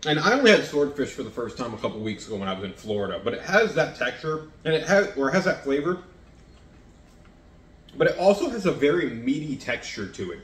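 A man's voice: mid-range voice, big pitch swings, a little fast, a bit bright. A man in his thirties chatting casually to camera in his home kitchen as he cooks and taste-tests, rambling and self-deprecating. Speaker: home cooking vlogger. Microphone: fixed camera across the counter. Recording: echoey kitchen.

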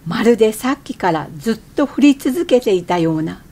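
Casting: irritable young wife, radio drama, high pitch, animated and exclamatory. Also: female voice, normal speed. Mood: wistful. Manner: expressive, exclamatory, emotionally changeable. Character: irritable, changeable young wife, quick to flare up. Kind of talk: radio drama acting with inner monologue.

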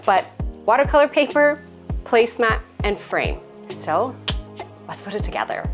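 A woman's voice: fairly high pitch, sing-song, normal speed, soft background music. A woman in her thirties hosting a home DIY tutorial at home, chatty and self-deprecating with playful asides, on a lapel mic. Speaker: home decor YouTuber. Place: home interior, hallway and craft table.

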